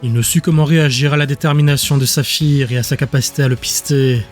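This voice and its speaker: A man's voice: mid-range voice, steady tone, fast talker, faint music behind. A man reading scripted dramatic fantasy narration as an audiobook narrator.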